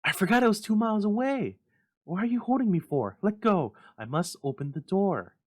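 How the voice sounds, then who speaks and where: A man plays a desperate old mother in a Gothic horror tale. Her voice is pitched high and loud, urgent and demanding, crying out in frantic grief. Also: animated, moderate pace. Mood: frantic, screaming. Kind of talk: dramatic Gothic story reading.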